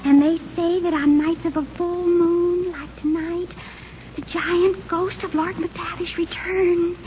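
A woman playing an excitable, chatty teenage neighbor girl in an old radio comedy, telling tales in a breathless, melodramatic way. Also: extremely high pitch, slow. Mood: spooky, melodramatic. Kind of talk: 1940s radio comedy acting. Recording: noisy, muffled old radio recording.

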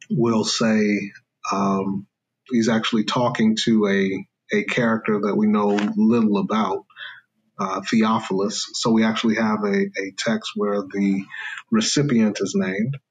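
A man's voice: on the low side, little pitch movement, slow pace, slightly rough, lo-fi sound. A man speaking as a scholar guest in an interview, explaining carefully in a lecturing way with fillers and restarts.

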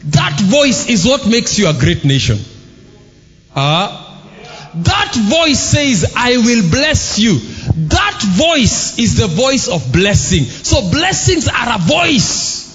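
A man preaches in a long-reverb hall, with soft music underneath. His voice sits high and swings widely in pitch. He talks slowly and shouts at the peaks. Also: very animated. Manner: emphatic, shouting at peaks and dropping low for asides. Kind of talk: sermon.

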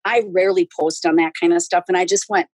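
A woman's voice: lowish voice, steady tone, quick pace, a little mellow, dry recording. A woman in her sixties, a podcast guest chatting casually and telling stories, laughing often. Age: 60s. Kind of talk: casual interview chat.

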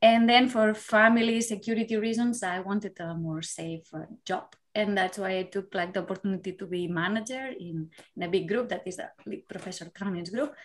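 A woman, a lecturer talking candidly as a podcast guest, reflective and quick to laugh. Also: medium pitch, fairly even intonation. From Spain. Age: 30s. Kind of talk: casual interview chat.

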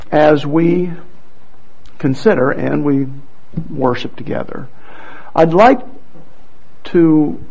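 A man preaching a sermon and reading scripture aloud, earnest and halting, with frequent restarts. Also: fairly high pitch, gravelly, darkish tone, dull audio. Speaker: pastor.

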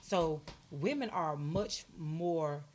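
A woman's voice: low voice, very slow, a little mellow, slight background noise. A woman arguing bluntly and with lots of swearing in a casual group talk. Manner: blunt, profane, talks over others.

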